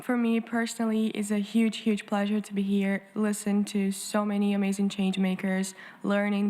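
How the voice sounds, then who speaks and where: A woman's voice: mid-range voice, a little mellow. A woman around twenty answering on a summit panel, direct and enthusiastic, in a conference room. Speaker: youth panelist.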